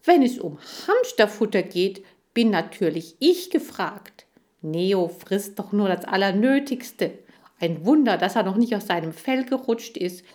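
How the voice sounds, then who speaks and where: A woman's voice: medium pitch, sing-song, unhurried, a little mellow. A woman voicing a greedy, chubby male hamster in a children's story, self-important and easily offended. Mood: indignant.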